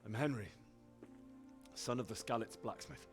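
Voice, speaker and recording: A man's voice: mid-range voice, moderate pace, slightly rough, soft background music. A man acting an earnest, humble young blacksmith's son in a video game cutscene. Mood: shaken, earnest.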